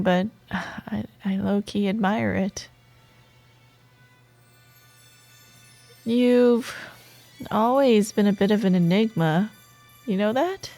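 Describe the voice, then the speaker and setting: A woman playing a sardonic, secretly soft female office rival in a romantic audio roleplay, teasing and expressive, with dramatic pauses. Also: mid-range voice, no echo. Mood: reluctantly admiring.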